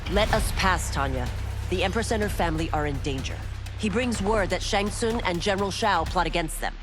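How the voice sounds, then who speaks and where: A woman's voice: fairly low pitch, brisk, lightly acted. A woman voicing a resolute female constable and former royal guard who speaks earnestly. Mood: determined. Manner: earnest, determined. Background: music.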